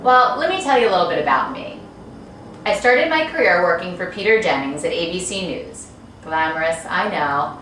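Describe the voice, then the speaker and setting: A very reverberant, distant recording of a woman with swooping, animated intonation. She sounds like an author pitching to an audience in a chatty scripted style, breezy and playful. A faint noise sits under her voice. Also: moderate pace. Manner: breezy, playful, asks and answers rhetorical questions. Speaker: author promoting her book and talks. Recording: very echoey room, distant mic, faint noise.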